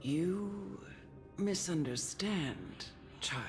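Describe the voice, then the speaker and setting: A grave, ancient guardian of death from a video game. The delivery is very slow and solemn yet swings widely in pitch, over clear background music. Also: big pitch swings, heavily acted. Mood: solemn, guiding. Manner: measured, solemn, storytelling.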